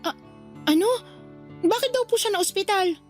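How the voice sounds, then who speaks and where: A woman playing an emotional young daughter in a radio drama, with a halting, heartfelt delivery. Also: very high voice, soft background music. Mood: alarmed. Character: grieving young daughter losing the man she loves. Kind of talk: Tagalog radio drama acting.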